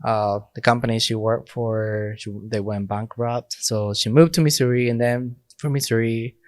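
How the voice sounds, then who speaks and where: A man's voice: mid-range voice, fairly even intonation, normal speed, no echo. A young adult man from Mexico, a priest and podcast guest, who speaks English as an in short phrases and laughs often. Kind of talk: casual interview talk.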